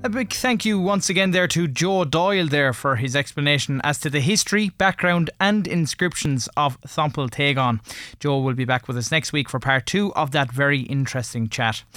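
Irish male radio presenter, fairly high voice, dry close studio sound, linking programme segments. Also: animated, fairly quick, bright, forceful tone, dry recording, soft background music. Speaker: radio host.

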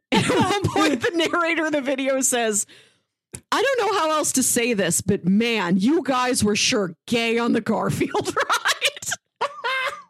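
A woman's voice: high-pitched, very animated, takes it slowly, fairly bright tone, no room sound. A woman co-hosting a podcast, giving recommendations in a chatty, informal way with casual asides. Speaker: podcast co-host.

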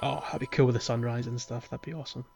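A man's voice: medium pitch, steady tone, fast talker, gravelly, darkish tone, soft background music. A man chatting casually and enthusiastically about a game as a podcast co-host. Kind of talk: casual game discussion chat.

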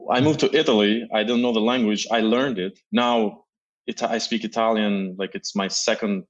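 A man's voice: lowish voice, steady tone, moderate pace, highs cut off. Guy in an interview, earnest, kinda repeats words while he thinks. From Albania. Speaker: guest. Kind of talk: casual interview answers.

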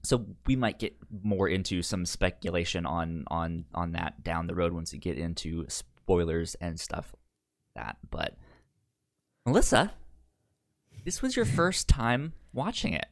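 A man chats casually as a podcast co-host in a close, dry recording. His pitch moves around a lot, and his delivery is slow and halting, with words and sounds often repeated. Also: very animated, creaky, no echo.

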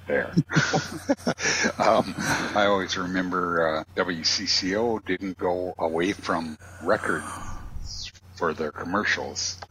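A man's voice: sits low, steady tone, slow pace, bright, forceful tone, poor audio. An older man chatting casually as a panelist on a talk show. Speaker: panelist running the calls. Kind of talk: casual chat. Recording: faint background noise.

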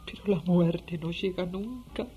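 A woman's voice: on the low side, unhurried, dull audio, faint music behind, lightly acted. An actress playing a sick, despairing old grandmother in a radio drama, speaking in a weary lament. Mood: sorrowful, weary. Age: older. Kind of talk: Spanish-language radio drama acting.

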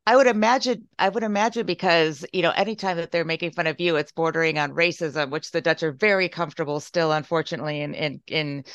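A woman's voice: low-pitched, creaky, dry recording, dull audio. A woman from the United States hosting a podcast, asking long, rambling, effusive questions in casual conversation. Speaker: podcast host. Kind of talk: casual interview.

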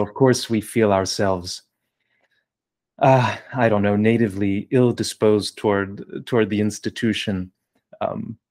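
A man from New Jersey hosts an interview and asks long, carefully built questions. His voice is a little low, and his phrasing is formal and measured. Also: a little mellow. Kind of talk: casual interview conversation.

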